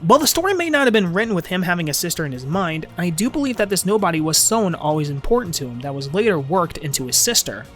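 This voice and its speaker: A man's voice: high-pitched, very animated, fast, few pauses, fairly bright tone, soft background music. A man narrating an informal scripted fan video essay about a game, with emphatic, swinging phrasing.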